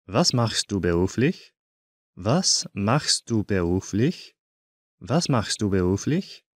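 A man teaching a language course, saying each short phrase twice in slow, careful drills. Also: on the low side, very animated, no room sound, studio quality.

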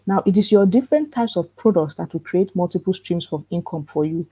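Soft-spoken woman, calm, dark mellow tone, dry close sound, live workshop teacher. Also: on the low side, brisk, breathy edge, dry recording. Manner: earnest, repetitive coaching, asks rhetorical questions. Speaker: workshop host teaching authors. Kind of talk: live online workshop lecture. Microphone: computer mic in a live webinar.

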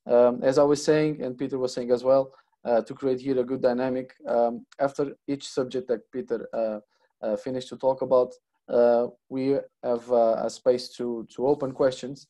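A man's voice: medium pitch, flat delivery, moderate pace, mellow. A man in his thirties with a Portuguese accent, hosting and interpreting an online seminar over earphones on a video call, hesitant with many fillers and restarts. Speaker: webinar host and interpreter. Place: plain room indoors. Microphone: earphone headset on video call.

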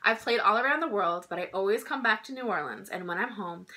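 A woman in her thirties reading a children's picture book aloud in an expressive, emphatic storytelling style. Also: medium pitch, a little fast. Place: small indoor room at home.

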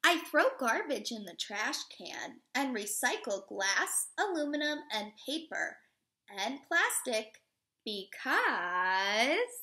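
A woman in her thirties, a teacher reading a picture book aloud to young children in an expressive, instructive way. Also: a little high, takes it slowly. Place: living room at home, indoors.

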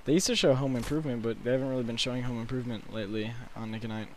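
An eighteen-year-old man with a hoarse, sore-throated voice, rambling through casual late-night gameplay commentary from his bedroom with lots of fillers. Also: mid-range voice, darkish tone, a little hiss or hum. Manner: rambling, halting with many fillers. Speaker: gaming commentator.

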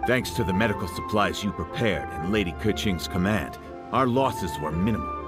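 An earnest male soldier from a game. He reports formally and respectfully in a fairly low voice, with loud music behind. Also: lively intonation, normal speed. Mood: dutiful, grateful. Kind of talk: scripted video game voice acting.

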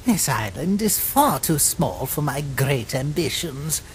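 A woman voicing an excitable, boastful young male skeleton trap-maker with grandiose delivery in a comic dub. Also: deep voice, measured pace, a little hiss or hum, rough recording. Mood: bored, gloomy. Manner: theatrical, grandiose, dramatic. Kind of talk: animated comic dub acting.

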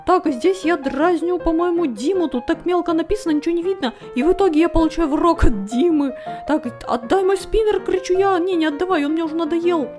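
A woman voicing a small, mischievous, excitable male ink demon in a playful cartoon comic dub. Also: extremely high pitch, a little fast. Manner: playful, exclamatory. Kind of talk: fan comic dub and show hosting. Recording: music behind.

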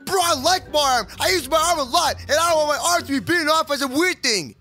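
Man acting a little moose kid, very high and bright, excitable, stammering, slangy, lively swoops, kids' gaming cartoon, music behind. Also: fast, exaggerated voice. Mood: excited, nervous. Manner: stammering, peppering speech with slangy address. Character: excitable, whiny little baby moose boy.

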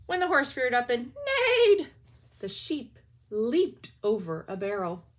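Breathy woman reading picture books aloud. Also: on the high side. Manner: lively, drawn-out storybook reading with soft asides. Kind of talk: children's read-aloud with comments. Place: small bedroom at home.

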